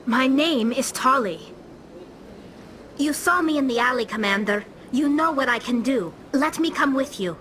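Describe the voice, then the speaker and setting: Earnest, high-voiced young alien woman. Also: expressive, measured pace, a little hiss or hum. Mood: determined. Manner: earnest, formal, explanatory. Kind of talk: video game voice acting. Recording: game dialogue over loud music.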